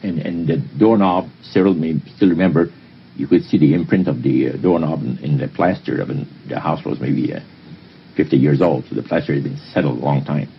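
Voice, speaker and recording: An older man with a very low voice tells his story in halting bursts, often repeating words and restarting. The sound is dull and muffled, like an old archival tape, with a faint hiss underneath. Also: vocal fry. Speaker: interview guest. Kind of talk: recounting experience in an interview.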